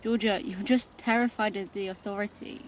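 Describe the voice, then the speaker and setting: A woman's voice: medium pitch, steady tone, fairly quick, highs cut off, slight background noise, close to an everyday voice. A woman playing a bold, knowing, dismissive young clubgoer in a dystopian audio drama. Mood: teasing, assured. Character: bold, teasing young woman who frequents clubs.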